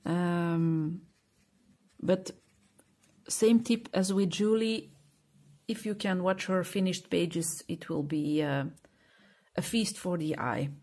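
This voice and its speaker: A woman's voice: on the low side, takes it slowly, long pauses, darkish tone. A Belgian woman with a Flemish accent, speaking English as a second language in a casual chat to camera, hesitant and full of fillers as she searches for words. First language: Dutch (Flemish). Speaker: coloring channel host.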